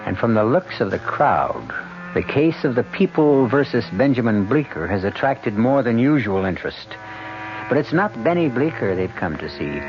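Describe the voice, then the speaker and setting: A man hosting a radio mystery drama, giving theatrical, measured scripted narration. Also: medium pitch, very animated, a little hiss or hum. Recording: dull old radio broadcast, music behind.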